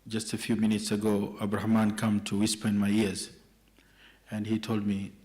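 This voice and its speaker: A man's voice: fairly low pitch, monotone, normal speed, a little mellow. A middle-aged man from Kenya, speaking as a respondent at an evening panel discussion in a joking, conversational way. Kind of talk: public talk at an evening panel.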